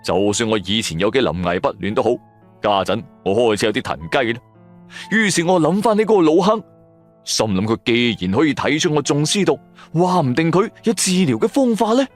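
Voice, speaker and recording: A man's voice: mid-range voice, lively intonation, brisk. A man with a Cantonese accent narrating a supernatural serial in the first person, in a dramatic, colloquial storytelling manner. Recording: music bed under the voice. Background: background music.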